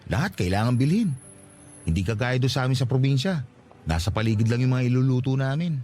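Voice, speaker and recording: A man playing a humble, sincere young job seeker from the provinces in a radio romance drama, candid and gently flattering. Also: mid-range voice, quick pace, soft background music, natural voice. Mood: resigned, candid.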